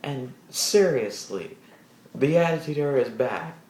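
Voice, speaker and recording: A man giving a casual solo wrestling show review, rambling with restarts and animated asides in a deep voice. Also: unhurried, roomy sound, poor audio.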